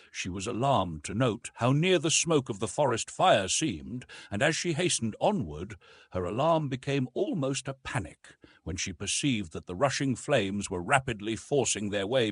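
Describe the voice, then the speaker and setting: A man's voice: on the low side, lively intonation, normal speed, husky, no room sound, studio quality. A man reading an adventure novel aloud as dramatic scripted narration. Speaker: audiobook narrator.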